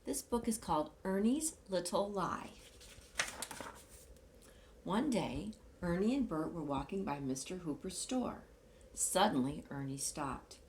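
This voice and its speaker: A woman reading a children's picture book aloud in an animated, expressive way with dramatic emphasis. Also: on the low side, measured pace, a little hiss or hum. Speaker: storybook reader.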